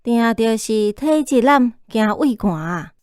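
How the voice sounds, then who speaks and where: A woman's voice: on the high side, lively intonation, slow, a little mellow, smooth, no room sound, clean studio sound. An actress playing a man's knowing older sister in a Taiwanese radio drama, explaining and reasoning.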